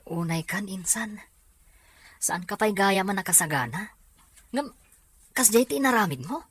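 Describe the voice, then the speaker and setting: A woman acting a frank, protective young male cousin with a husky voice in a drama serial. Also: medium pitch, expressive, a little slow, fairly bright tone. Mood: reproachful.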